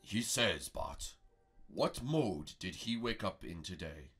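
Man doing a deep, pompous mystical guide. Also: expressive, fairly bright tone, faint music behind, exaggerated voice. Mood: cautioning. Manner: pompous, slow proclaiming. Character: self-important mystical male guide, solemn and easily offended.

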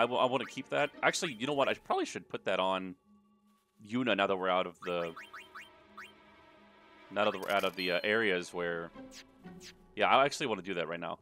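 A man's voice: sits low, sharp tone. A man hosting a let's play, giving casual, rambling commentary with jokes and swearing.

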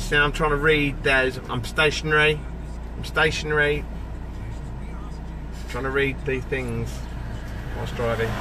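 A man chats casually and jokingly to his followers, often mock-serious, while driving a van. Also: fairly high pitch. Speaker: vlogger livestreaming to followers. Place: inside a moving van. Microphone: phone mounted on dashboard. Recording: loud road noise inside moving vehicle.

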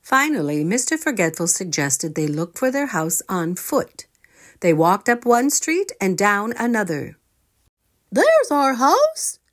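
A woman's voice: mid-range voice, unhurried. A woman reading a children's storybook aloud, expressively, as a storyteller addressing young listeners. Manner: expressive, asking listeners questions.

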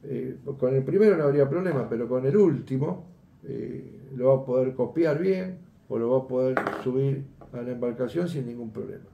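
A man's voice: medium pitch, animated, normal speed, creaky, reverberant, dull audio, a little hiss or hum. An older man, teaching a fishing rig setup in a chatty tutorial and often asking his viewers "¿eh?" and "¿ves?". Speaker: fishing instructor. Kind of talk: hands-on tutorial demonstration. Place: indoor workroom at a table.

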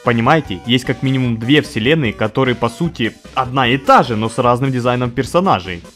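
A man narrates a humorous scripted video with very lively intonation. He is sarcastic and swears freely, with faint music playing underneath. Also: medium pitch, fairly quick.

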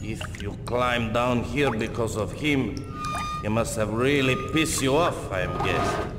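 Slow, halting Russian man in echoing space, acted. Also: mid-range voice, natural voice. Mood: weary, wary. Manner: slow, halting, broken English. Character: weary Russian former guard, freed prisoner, plainspoken. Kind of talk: video game cutscene acting. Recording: echoey, long reverb, music underneath.

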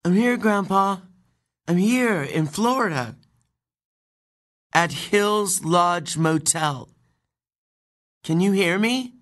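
A man's voice: sits high, lively intonation, fairly bright tone, heavily acted. An actor with a male-sounding voice playing an earnest, anxious transgender teenage girl in an audio play, hesitant and apologetic. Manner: hesitant, questioning, quick to apologize. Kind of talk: audio drama acting of a stage play.

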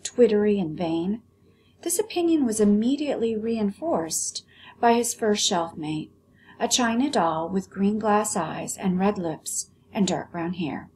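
An American woman teaches and reads aloud to children in an explanatory way. Her mid-pitched voice moves up and down a lot, with faint noise behind it. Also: a little mellow. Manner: explanatory, clearly phrased for children. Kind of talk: vocabulary lesson and expressive story read-aloud.

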